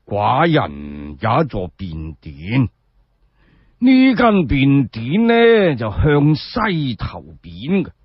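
A man's voice: medium pitch, wildly swooping pitch, drawn-out words, slightly rough, no echo, highs cut off, exaggerated voice. A man voicing a leisurely, self-indulgent old duke in a radio storytelling, drawling hesitantly with small chuckles. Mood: drawling, pleased.

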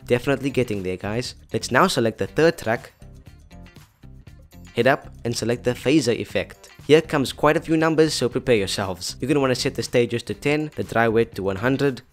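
A man's voice: mid-range voice, moderate pace. A young man presenting a scripted tutorial in a chatty, playful way, addressing viewers directly. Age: young adult. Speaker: tutorial host. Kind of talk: scripted tutorial narration to camera. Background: background music.

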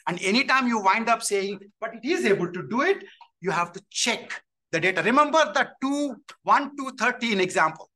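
A man giving a keynote lecture, animated and chatty, often checking in with "right?" and telling jokes. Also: very high-pitched, normal speed, recorded from a distance. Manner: animated, tells jokes, frequent "right?" and "you know". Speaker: keynote speaker, professor. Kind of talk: lecture with audience questions. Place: lecture hall. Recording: echoey hall, speaker off-mic.

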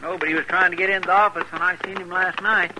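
An American actor playing an eager, deferential deputy-like sidekick in a radio western, folksy and obliging. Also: male voice, high voice, little pitch movement, quick pace, lightly acted. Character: eager, deferential marshal's sidekick in Old West. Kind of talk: 1950s radio western acting. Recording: noisy, clicky 1950s radio recording.

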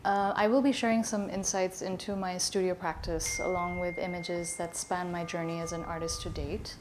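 Soft-spoken woman presenting her art. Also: on the low side, level tone, background noise. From Pakistan. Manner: measured, explanatory. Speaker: artist presenting her work. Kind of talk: prepared presentation. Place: gallery talk space, indoors.

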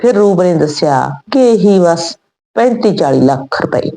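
A woman narrating a scripted moral story in an earnest, measured way. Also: on the low side, lively intonation, dark tone.

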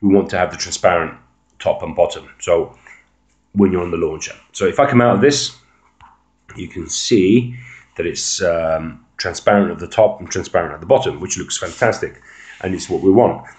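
A man with a low-pitched voice talks through a phone screen demonstration in a casual, unscripted style. He explains as an amateur reviewer would, in a hesitant, halting way. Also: darkish tone.

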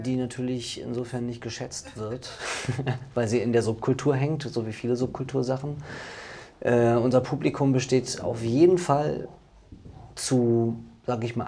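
A man's voice: medium pitch, mellow. A man who runs an attraction, speaking casually in a documentary interview. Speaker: maker of an underground labyrinth attraction. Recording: music behind the voice. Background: background music.

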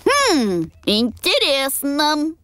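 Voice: high voice, huge pitch swings, drawn-out words, bright, forceful tone, dry recording, heavily acted. A performer voicing a sleepy, curious little pony boy in a children's cartoon, with simple childlike delivery. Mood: fascinated.

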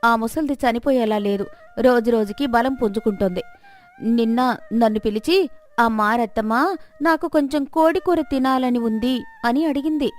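A woman voicing a greedy, scheming village wife in a moral tale, with animated, sugary-tongued delivery. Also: a little high, normal speed, lightly acted. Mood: impatient plotting. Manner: exaggerated, animated plotting and fake sweetness.

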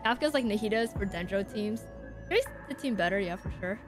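A woman game streamer giving casual live commentary to chat in informal, halting, slangy speech. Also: medium pitch, lively intonation. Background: game music.

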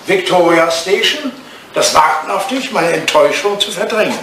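High-voiced, whimsical old English lord. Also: male voice, lively intonation, normal speed. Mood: nostalgic, tender. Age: older. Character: aging English lord, whimsical, nostalgic, imperious with his butler. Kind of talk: 1980s German radio play acting. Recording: roomy sound with clear background noise.

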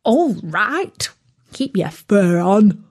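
A woman's voice: mid-range voice, sing-song, very slow, darkish tone, husky, full character voice. A woman voicing an impatient, hungry male turkey who complains indignantly. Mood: grumbling.

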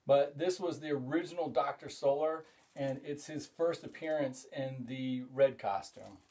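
A middle-aged man talks through his collection in an easygoing, rambling way. He trails off and restarts with plenty of "uh"s, and gets excited over each find. His voice sits in an ordinary middle pitch, picked up by a camera set a few feet back in a cluttered hobby room. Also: a little slow. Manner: rambling and enthusiastic, frequent "uh" fillers. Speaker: comic collector and show host. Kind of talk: casual show-and-tell vlog.

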